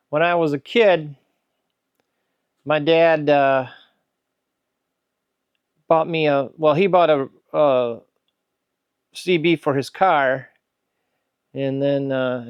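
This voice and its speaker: Male hobbyist host, 60s, fairly high clean voice, unscripted rambling show-and-tell, close dry sound. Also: clean tone, no room sound, dull audio. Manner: rambling, musing aloud. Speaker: host, hobbyist radio restorer. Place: indoor electronics workbench.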